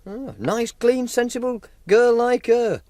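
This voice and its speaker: A man's voice: very high voice, slow, mellow. A man acting in a radio drama as a cocky, teasing racing punter with a Yorkshire accent, speaking colloquially like a storyteller. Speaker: actor.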